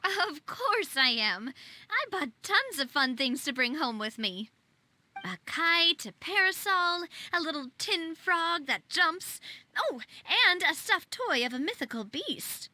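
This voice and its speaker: A woman's voice: very high-pitched, very animated, slow pace, pushed and bright, exaggerated voice. A woman playing a confident, breezy, playful young woman in a video game. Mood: playful, defensive. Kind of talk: video game character acting.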